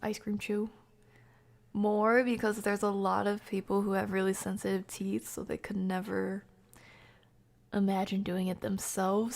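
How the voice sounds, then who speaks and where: A woman live streamer chatting casually with viewers, soft-spoken and often slipping into a breathy near-whisper. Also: mid-range voice. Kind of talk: casual livestream chat with viewers.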